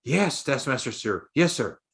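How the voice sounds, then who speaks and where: A man's voice: mid-range voice, sing-song, vocal fry, a bit bright, heavily acted. A man voicing a poor young beggar boy in a storybook, earnest and frightened, with a pleading manner. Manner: drawn-out, pleading begging cries.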